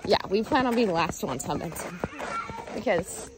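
A woman in her thirties narrating her family's travel vlog in a chatty, lively way, laughing often. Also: medium pitch, moderate pace, noisy background. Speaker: family vlogger, mother.

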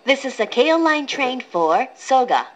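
A woman reading a scripted, pre-recorded train announcement in a formal, clearly enunciated manner. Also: high voice, sing-song, slow. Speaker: English-language train announcer. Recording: recorded aboard a train, clear background noise.

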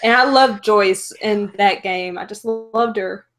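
A woman in her twenties hosting an interview on a video call over a headset, talking fast and enthusiastically. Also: medium pitch, over music. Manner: chatty and fast, nerdy enthusiasm. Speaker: host. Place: bedroom with shelves of figures.

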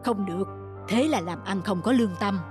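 A woman's voice: medium pitch, moderate pace, music in the background, natural voice. A woman playing a conscientious, thoughtful male farmer in a children's cartoon, earnest and reflective. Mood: worried.

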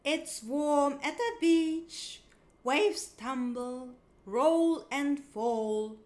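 A woman reading a picture book aloud for young children, in a sing-song, clearly enunciated way. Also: sits high, animated, extremely slow, a little mellow. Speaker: children's storybook reader.

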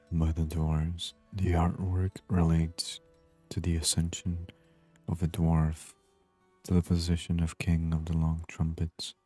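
Male gaming host for sleep ASMR: murmured, very flat intonation, husky voice, unhurried. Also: low voice. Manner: murmured, unhurried, reading on-screen text aloud. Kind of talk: soft-spoken ASMR game commentary.

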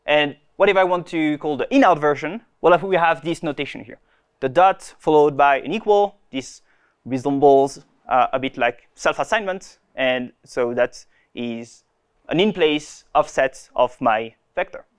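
A young man presenting a technical talk at a conference, pausing and restarting often as he explains. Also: fairly high pitch, smooth. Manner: hesitant, many restarts and fillers. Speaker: conference speaker. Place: conference room.

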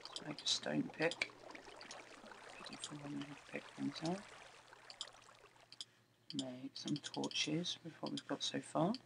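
A man's voice: a little high, fairly even intonation, slow pace, creaky, long pauses, background noise. A young man with a cold, sniffly and thinking aloud in casual chat as he plays a game. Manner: chatty, thinks aloud while playing, often quiet and murmured. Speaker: let's play host. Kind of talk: casual gameplay commentary. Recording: game music behind the voice. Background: game music.

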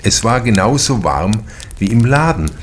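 A man reading a crime novel as an audiobook narrator, in a measured, deliberate manner with careful pauses. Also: fairly low pitch, lively intonation, slight background noise.

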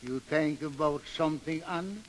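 An actor as a fretful, high-voiced old Swede. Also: male voice, moderate pace, lightly acted. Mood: worried. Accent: Swedish. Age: older. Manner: broken English, grumbling. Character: stubborn, fretful old Swedish seaman father. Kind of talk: 1930s radio acting. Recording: old reverberant radio broadcast.

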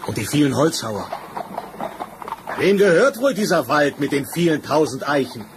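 A man's voice: on the high side, sing-song, moderate pace. An actor playing a grand, genial king in a fairy-tale audio drama, declaiming with admiration. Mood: admiring. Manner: stately, declamatory. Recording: noisy old vinyl transfer.

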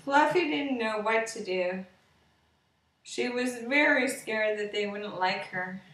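High-voiced woman reading a children's story. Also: expressive, takes it slowly, fairly bright tone, slight background noise. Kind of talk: children's bedtime story read aloud, slow simple narration. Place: living room with sofa and window, indoors. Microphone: webcam at about arm's length or more. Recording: roomy, distant webcam sound.

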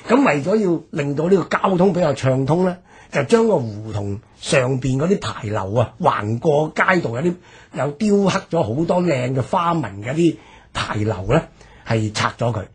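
A man with a Hong Kong Cantonese accent, explaining history as a radio presenter with drawn-out phrase endings. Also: mid-range voice, expressive, breathy edge.

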